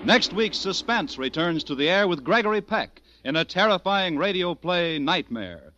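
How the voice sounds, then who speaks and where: A man's voice: sits high, animated, normal speed. A man with an American accent announcing in polished, scripted 1940s network radio style. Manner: polished, promotional. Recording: dull vintage radio recording, music behind.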